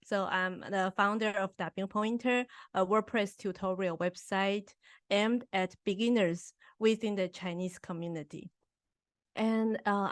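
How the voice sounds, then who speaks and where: A woman from Taiwan, a native Mandarin speaker, answering a podcast interviewer in careful English with frequent pauses and restarts. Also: mid-range voice, no echo, clean studio sound. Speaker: podcast guest. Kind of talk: casual interview.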